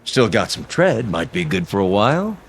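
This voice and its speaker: A man's voice: lowish voice, very animated, moderate pace, vocal fry, a little hiss or hum. An actor playing a pompous, folksy and shrewd veteran politician, an older man, in an old-time radio drama. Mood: skeptical, probing. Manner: pompous, wheedling, theatrical.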